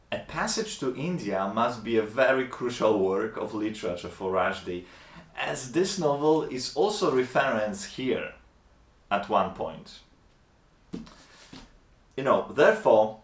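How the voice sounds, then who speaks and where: Slow, thoughtful man. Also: mid-range voice. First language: Polish. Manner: measured, thoughtful, slightly formal. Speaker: book reviewer. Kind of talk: reflective book review monologue. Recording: roomy echo.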